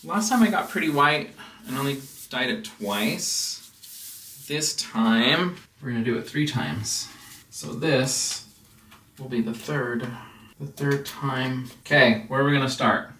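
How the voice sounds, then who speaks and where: An American man telling a personal story as a casual vlog host, in a rambling, halting way. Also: medium pitch, bright, forceful tone. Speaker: house music producer and DJ hosting a vlog. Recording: roomy, distant mic with background noise.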